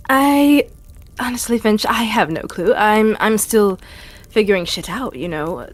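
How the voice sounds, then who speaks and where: A woman plays out a tabletop scene in a casual, slightly hesitant way. Her voice sits at an average pitch as she shifts between dialogue and narration. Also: animated, measured pace, no echo. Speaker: player in a tabletop roleplaying game. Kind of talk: improvised in-character roleplay and narration.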